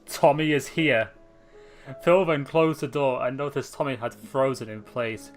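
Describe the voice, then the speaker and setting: Young male reader, mid-pitched, offhand asides, casual fan fiction read. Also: normal speed, soft background music. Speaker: story reader, channel host.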